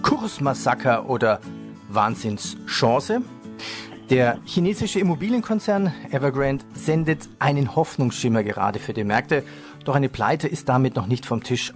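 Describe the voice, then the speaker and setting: A man hosting a finance podcast interview, with smooth, scripted moderation and light jokes. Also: medium pitch. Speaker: radio host.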